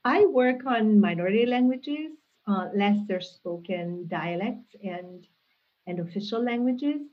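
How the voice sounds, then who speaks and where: A woman, a guest answering interview questions in a thoughtful, measured way with frequent restarts, heard over a video call. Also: mid-range voice, animated. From Hungary. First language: Hungarian. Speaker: guest, linguistics professor. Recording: tinny phone-like call audio.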